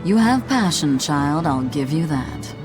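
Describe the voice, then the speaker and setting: A woman's voice: low voice, expressive, measured pace, music in the background. An actress playing a sly, wry, mysterious woman in a video game, with a haughty, teasing drawl. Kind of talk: English game voice acting.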